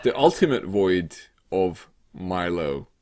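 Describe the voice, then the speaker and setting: A man co-hosting a casual, sweary comedic news chat in a halting, rambling, sarcastic way. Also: sits low, very animated, darkish tone. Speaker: co-host of a weekly games show.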